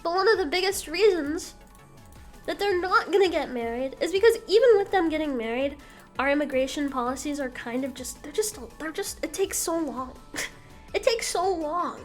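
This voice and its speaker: A young woman with a high voice chats casually on her stream, giving her opinions bluntly and candidly. She swears without a second thought, and faint music plays under her talk. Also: lively intonation, moderate pace. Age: young adult.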